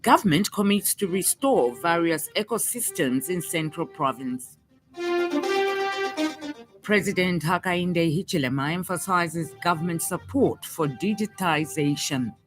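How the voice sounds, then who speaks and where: A woman's voice: fairly low pitch, lively intonation, unhurried, over loud music. A woman with a Zambian accent reads a scripted radio news bulletin as the news reader.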